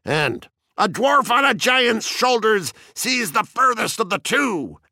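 A man's voice: on the high side, big pitch swings, a little slow, vocal fry, a bit bright, no room sound, clean studio sound, pitched above the performer's own voice, heavily acted. A man reading an audiobook as a grandiloquent fire chief, needling and mock-jovial, with a sly, gleeful, declamatory delivery. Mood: theatrical, gleeful. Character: Beatty, taunting, grandiloquent male fire chief, slyly gleeful bully. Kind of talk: audiobook character acting.

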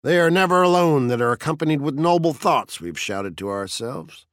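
A man's voice: fairly high pitch, lively intonation, moderate pace, fairly bright tone, no echo, studio quality, pitched above the performer's own voice. A man reading an audiobook as a grandiloquent fire chief, needling and mock-jovial, with a sly, gleeful, declamatory delivery. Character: Beatty, taunting, grandiloquent male fire chief, slyly gleeful bully. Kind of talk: audiobook character acting.

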